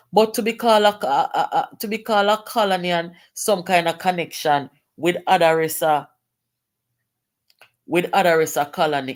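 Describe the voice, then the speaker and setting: A woman from Jamaica with a Jamaican accent, reading a political letter aloud and breaking into Patois to comment on it. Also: fairly low pitch. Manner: switches to Patois, exclamatory, rising indignation.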